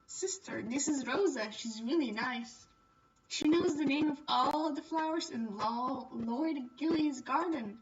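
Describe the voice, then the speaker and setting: A woman's voice: sits high, measured pace, far from the mic, dull audio. A woman voicing an eager, stubborn little girl who pleads and insists in a visual novel. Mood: excited. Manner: excitable, insistent, childlike.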